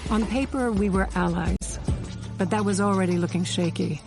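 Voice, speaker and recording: An actress as a wry, sharp-witted woman spy narrates a radio play. She tells her Cold War memories drily in a medium-pitched voice, with music clearly playing underneath. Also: moderate pace, natural voice. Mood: wry, knowing. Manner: dry, wry. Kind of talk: dramatized first-person radio narration. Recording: music bed behind studio narration.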